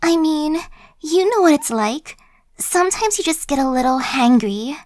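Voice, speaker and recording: Woman voicing a high-pitched, chatty zombie girl. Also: animated, normal speed, a little mellow, dry recording, clean studio sound. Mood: nervous, placating. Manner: casual slangy wheedling, buddy-like. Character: chatty, cajoling young female zombie, playful and sly. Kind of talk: ASMR roleplay acting.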